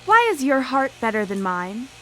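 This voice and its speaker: A woman's voice: sits high, very animated, moderate pace, pushed and bright, slight background noise, full character voice. An actress playing an obsessive, ruthless female scientist in a theatrical, formal manner for a live radio drama. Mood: brooding, intense. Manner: grandiose, theatrical, formal speech.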